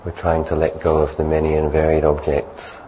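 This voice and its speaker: A man calmly leading a guided meditation as a monk, giving gentle, unhurried instructions. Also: rumbling low, a little hiss or hum, poor audio.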